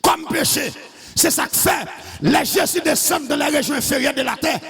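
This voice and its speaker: Fiery, high-pitched gravelly preacher. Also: male voice, moderate pace, big-room sound, faint music behind. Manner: shouting, half-singing cadence. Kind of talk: fiery sermon.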